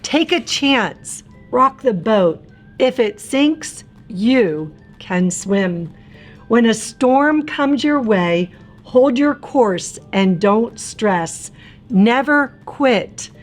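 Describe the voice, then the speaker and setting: A woman narrating a rhyming picture book for young children in an expressive, theatrical and emphatic way. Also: on the high side, drawn-out words, soft background music.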